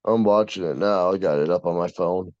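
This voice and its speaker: A man's voice: low-pitched, fairly quick, darkish tone. A young American man chatting casually as a podcast co-host, with a brash, slangy, sweary way of talking. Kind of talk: casual chat. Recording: dull, roomy lo-fi sound.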